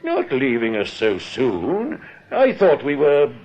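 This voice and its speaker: An actor playing a jovial, chatty small-town bank manager with a hearty but hedging manner in a British radio drama. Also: male voice, mid-range voice, wildly swooping pitch, slow, darkish tone, heavily acted. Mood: affable probing. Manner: hearty, chuckling, hesitant when probing. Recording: dull, band-limited radio recording.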